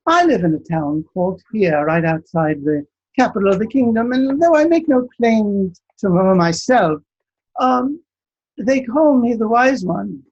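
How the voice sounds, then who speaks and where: A man storyteller voicing a gentle old wise man who speaks calmly and politely. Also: sits high, measured pace, slightly rough, dull audio, voice pushed up, full character voice. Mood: mild. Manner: calm, ponderous, polite.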